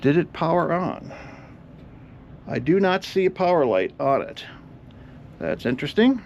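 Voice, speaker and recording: A man's voice: on the high side, sing-song, unhurried, creaky, darkish tone, lo-fi sound, a little hiss or hum. A man giving a casual hands-on repair walkthrough, explaining each step aloud as he works. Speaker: host of an electronics repair channel.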